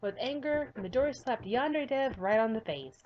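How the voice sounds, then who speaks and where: A woman's voice: on the high side, expressive, normal speed, highs cut off, a little hiss or hum. Woman reading a story aloud, earnest. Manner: earnest, slightly stumbling reading. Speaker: visual novel reader. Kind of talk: storybook-style narration.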